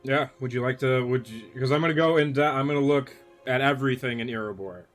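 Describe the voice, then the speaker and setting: A man in his twenties talking casually on a live game stream, excitable and quick to swear. His voice sits in a plain middle range. Soft music plays underneath. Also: medium pitch. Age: 20s. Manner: excitable, swears, laughs easily. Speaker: mod developer streaming gameplay.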